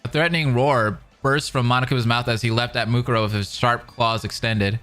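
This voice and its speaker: A man's voice: mid-range voice, steady tone, brisk, a bit bright, faint music behind. A man hosting a reaction video, reading visual novel narration aloud with casual, slangy commentary. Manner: informal, slangy asides.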